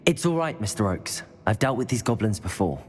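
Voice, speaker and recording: An actor playing a composed, polite and brave teenage wizard student in a video game. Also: male voice, on the low side, sing-song, fairly quick, husky. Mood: polite, reassuring. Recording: game music behind the voice.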